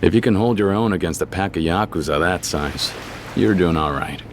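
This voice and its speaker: A man's voice: sits low, normal speed, a little hiss or hum. An actor playing a stoic, plainspoken young tough guy in a video game dub, blunt and terse. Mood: gruffly friendly.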